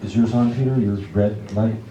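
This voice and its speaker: A man with a low, very soft-edged voice hosts a live event. He talks casually to the audience with wry, theatrical touches. The recording has the echo of a room. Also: fairly even intonation, moderate pace, muffled recording, a little hiss or hum. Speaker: event host introducing a staged reading. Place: indoor library event room.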